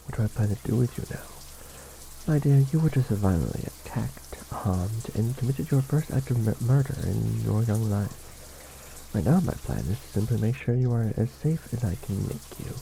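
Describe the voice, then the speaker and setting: A man playing an ancient vampire lord in an ASMR romantic roleplay, formal and protective, with measured, theatrical diction. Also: lowish voice, lively intonation, gravelly, dark tone, slight background noise, low-quality recording. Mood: earnest, protective. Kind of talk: ASMR romantic roleplay, scripted character acting.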